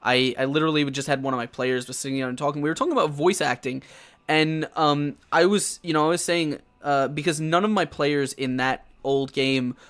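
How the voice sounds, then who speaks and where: A young man hosts a live stream in a loose, enthusiastic way and swears freely. His voice sits a bit high for a man. He trails off and restarts often as he chats. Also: fairly even intonation, brisk, a bit bright, smooth. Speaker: host of a tabletop game talk show. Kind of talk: casual live-stream chat.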